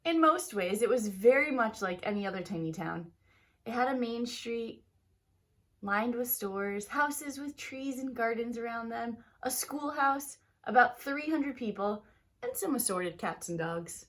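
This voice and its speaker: A woman reading a children's storybook aloud like a teacher, with expressive, emphatic phrasing aimed at young listeners. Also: fairly high pitch, unhurried. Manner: talks directly to young listeners.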